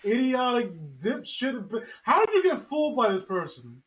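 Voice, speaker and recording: A man's voice: very high voice, sing-song, normal speed, crowd noise, low-quality recording. A man co-hosting a casual chat in a blunt, incredulous way with plenty of swearing. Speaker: podcast co-host.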